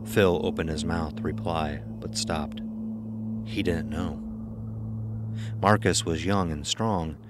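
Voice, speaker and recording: A man's voice: low voice, fairly even intonation, takes it slowly, long pauses, music in the background. A man narrating a scripted horror story, with ambience behind him.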